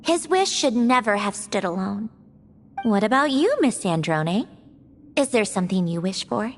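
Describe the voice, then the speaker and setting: A woman voicing a gentle, wistful young lady in an anime-style video game, with long reverb. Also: medium pitch, sing-song, moderate pace, soft background music.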